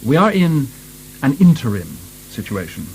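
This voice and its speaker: A man's voice: mid-range voice, sing-song, normal speed, darkish tone, rough recording. A man acting in a radio drama as an urbane, vague, hesitant official with a drily understated manner. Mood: evasive, bland. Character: urbane, vague, dithering French official chairing a review. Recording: faint hiss, 1990 radio broadcast.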